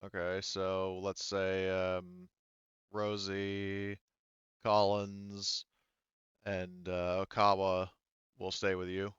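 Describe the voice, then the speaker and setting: A man acting as game master, casually narrating a tabletop game with halting, self-interrupting phrasing. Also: low voice, completely flat delivery, a bit bright, clean tone, dry recording, lo-fi sound.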